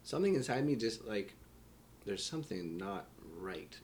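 A man with a fairly low voice talks casually as the host of a conversation. He sounds laid-back and a little hesitant, with faint noise in the background. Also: big pitch swings, normal speed, darkish tone. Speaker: podcast host.

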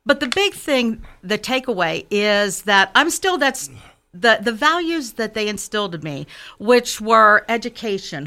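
Bright-voiced woman candidate. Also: mid-range voice. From Western Pennsylvania. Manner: earnest, halting with fillers and restarts. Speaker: political candidate guest. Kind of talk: radio interview answers.

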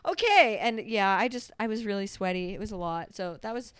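A woman in her twenties co-hosting a podcast, telling stories in a casual, profane and animated way. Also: mid-range voice, fairly quick, smooth, no room sound. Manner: profane, animated storyteller who acts out quotes. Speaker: podcast co-host. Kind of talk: casual chat, storytelling.

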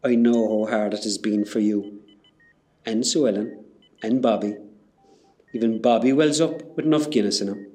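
A man's voice: lowish voice, moderate pace, long pauses, a little mellow, close to an everyday voice. A man playing a kindly, earnest Irish hospital porter with a Cork accent in an amateur radio play. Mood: gentle, consoling. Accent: Irish, County Cork. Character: kindly, apologetic Irish hospital porter, grown-up local lad.

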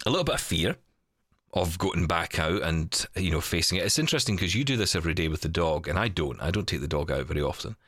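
Deep-voiced man chatting casually. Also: animated, quick pace, fairly bright tone, no room sound, studio quality. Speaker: podcast host. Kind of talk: casual chat.

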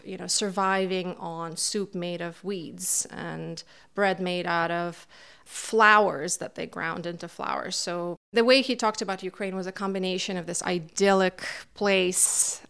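Middle-aged woman from kazakhstan, lowish smooth voice, thinks aloud with lots of ums. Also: fairly bright tone. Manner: reflective, with frequent fillers. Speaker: interviewee, NGO founder. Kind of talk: personal recollection in an interview.

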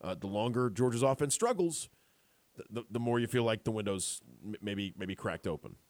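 A man's voice: lowish voice, lively intonation, normal speed. A man hosting a sports talk radio show, chatting in a loose conversational way and often restarting his sentences.

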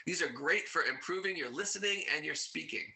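A man's voice: fairly high pitch, animated, a little fast, bright, forceful tone. A man hosting a legal English podcast, reading a scripted intro and then chatting casually with his guest in an upbeat, teacherly way. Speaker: podcast host.

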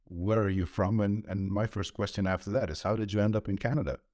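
Low-voiced man hosting, dry sound. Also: fairly even intonation, fast, a little mellow, dry recording. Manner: brief, affirming, laughing along. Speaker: podcast host. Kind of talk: casual interview chat.

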